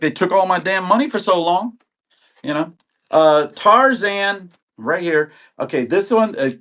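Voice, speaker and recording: A man in his sixties with a voice of average pitch, talking loosely and rambling on a live selling stream. He is getting over an illness and chats to viewers by name between items. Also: fairly bright tone. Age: 60s. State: recovering from illness. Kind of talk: live auction stream chat.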